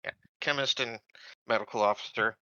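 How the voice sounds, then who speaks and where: A man playing a tabletop game, talking through his moves in a casual, halting, deliberate way. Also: medium pitch, fairly even intonation, bright, forceful tone, no room sound, lo-fi sound. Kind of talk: casual in-game planning talk.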